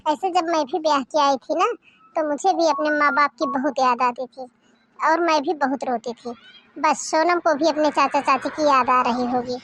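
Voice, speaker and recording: A woman voicing a warm, affectionate middle-aged mother-in-law with a coaxing, motherly manner. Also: sits high, steady tone, normal speed, a little hiss or hum. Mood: reassuring.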